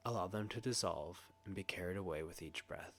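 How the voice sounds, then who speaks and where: A man with a low voice narrates a guided meditation. His delivery is gentle, hushed and soothing, with long pauses between short phrases. Faint noise and ambient chimes sit behind him.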